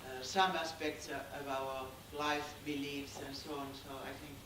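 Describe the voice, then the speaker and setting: A Finnish audience member comments in English with a Finnish accent, halting and repeating words. The voice is picked up from a distance. A strongly reverberant hall with clear background noise surrounds it. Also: mid-range voice, level tone. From Finland. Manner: halting, many fillers and repeats. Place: cinema hall. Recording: echoey hall from far off with noise.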